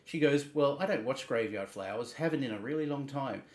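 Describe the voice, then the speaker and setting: A man hosting a livestream, reading messages and commenting in a halting, emphatic way, repeating words. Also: mid-range voice. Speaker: livestream host. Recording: roomy, echoing sound.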